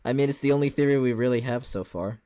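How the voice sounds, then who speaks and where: A woman's voice: very deep voice, fast, dark tone, clear, resonant tone. A woman giving casual reaction commentary in a dry, wry manner. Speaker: reaction show co-host.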